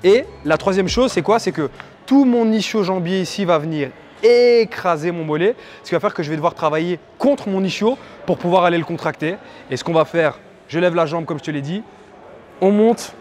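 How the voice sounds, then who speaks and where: A man's voice: high voice, fairly quick, darkish tone, slight background noise. A man giving a casual exercise tutorial as a fitness coach, with playful, teasing, motivational asides. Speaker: fitness coach presenting a workout. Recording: music under the voice. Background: background music.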